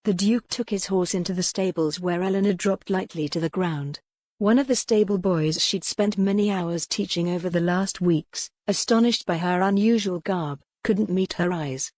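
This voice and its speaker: A woman's voice: fairly low pitch, normal speed, a little mellow, no room sound. A woman reading scripted dramatic fiction as an audiobook narrator.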